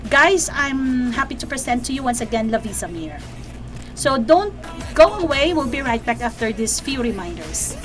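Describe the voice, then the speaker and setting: A woman teacher with a Filipino accent hosts an online talk show, her voice sitting somewhat high. She speaks conversationally, tossing in tag questions and pausing to search for words. Faint music sits underneath her. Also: sing-song.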